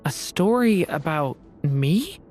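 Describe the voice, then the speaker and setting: A woman playing a gentle, wistful young dragonborn boy in a video game, earnest and hesitant. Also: sits low, lively intonation, slow. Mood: wondering. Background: faint music.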